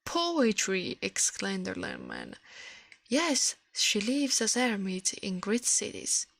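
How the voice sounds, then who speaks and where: A woman reading a story aloud in ASMR style, in a soft breathy whisper, halting now and then over the words. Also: mid-range voice, wildly swooping pitch. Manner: halting reading with stumbles and self-corrections.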